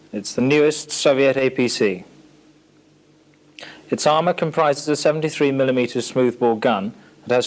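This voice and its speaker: A British man narrating a military training film in a formal, matter-of-fact, scripted instructional manner. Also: mid-range voice. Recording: 1980s archive training film soundtrack.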